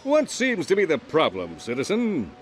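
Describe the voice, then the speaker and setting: A boastful, dim superhero, bombastic and goofy. His somewhat high voice bounces all over. It is a game cutscene. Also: wildly swooping pitch, normal speed, over music. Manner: bombastic, goofy, panics loudly.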